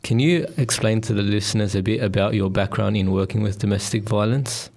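Guy hosting a podcast, kinda low voice, formal scripted questions. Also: brisk, vocal fry, a little mellow. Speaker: podcast host.

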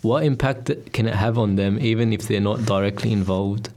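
Male podcast host, lowish voice, measured and formal delivery. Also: dark tone. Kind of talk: scripted interview questions.

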